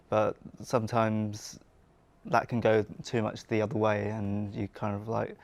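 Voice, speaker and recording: A man's voice: on the low side, vocal fry. A man hosting a casual talk, speaking earnestly and steadily with some fillers. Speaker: podcast host and community organizer. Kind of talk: casual podcast conversation.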